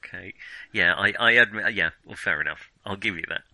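A man's voice: lowish voice, fairly quick, crowd noise. A British man co-hosting a film podcast in casual conversation, blunt and informal, with hesitant phrasing.

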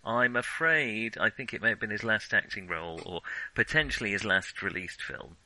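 A man's voice: fairly low pitch, moderate pace, sharp tone, dry recording, poor audio. A man co-hosting a podcast, talking casually as he reviews films. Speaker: podcast co-host. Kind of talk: casual film review chat.

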